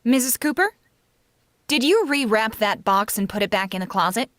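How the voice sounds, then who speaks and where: A frank, loyal housemaid from a vintage radio comedy, acted by a woman. Her voice is fairly high and lively, with wry reactions. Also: normal speed. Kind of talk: old-time radio comedy acting.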